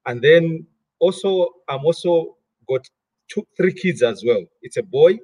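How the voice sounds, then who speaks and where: Malawian man, middle-aged interview guest, husky and dry-miked, halting and repetitive delivery. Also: fairly high pitch, animated, a little mellow, no room sound. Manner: repeats words often while thinking. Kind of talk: interview conversation.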